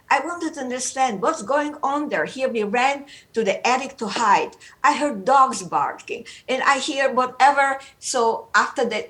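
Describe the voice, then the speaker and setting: An older woman gives a spirited, unscripted talk. She rambles playfully and circles back to repeat herself, speaking at a middling pitch. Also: a bit bright. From Romania. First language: German. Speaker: guest speaker.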